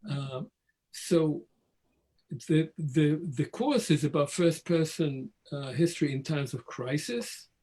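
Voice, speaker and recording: Reflective older man, slightly high voice. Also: warm tone, husky. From Israel. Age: 60s. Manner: measured, reflective, restarts his phrasing. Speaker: history professor, guest. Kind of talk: conversational academic talk.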